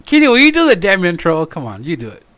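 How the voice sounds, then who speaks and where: A man's voice: high voice, wildly swooping pitch, quick pace, a bit bright. A man co-hosting a casual podcast chat, teasing and exasperated with wisecracks. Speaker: podcast co-host.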